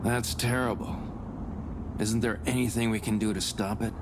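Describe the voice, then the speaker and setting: A man acting an earnest, superstitious, deferential subordinate in a visual novel set in 19th-century Russia, speaking formally and in a measured way. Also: fairly low pitch, fairly even intonation, background noise, natural voice. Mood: anxious, insistent.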